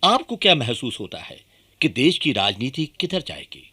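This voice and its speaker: An actor playing an earnest, curious nationalist activist in conversational radio play dialogue. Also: male voice, medium pitch, sing-song, normal speed, bright, forceful tone, husky, full character voice. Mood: curious, concerned. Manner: inquisitive, conversational.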